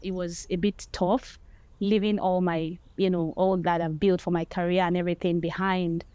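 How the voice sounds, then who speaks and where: A woman's voice: lowish voice, level tone, moderate pace, warm tone, smooth, no room sound. A woman speaking articulately and fluently in a documentary interview.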